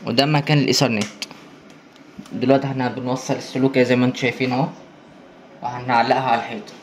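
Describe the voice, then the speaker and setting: An Egyptian man talks viewers through an installation in a casual, practical way. His speech races along at a very quick pace. There is light noise around him in the indoor on-site recording. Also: medium pitch, level tone, reverberant. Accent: Egyptian Arabic. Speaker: installer presenting an installation video. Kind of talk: casual hands-on tutorial commentary. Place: indoors in a marble-walled room. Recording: noisy handheld on-site recording.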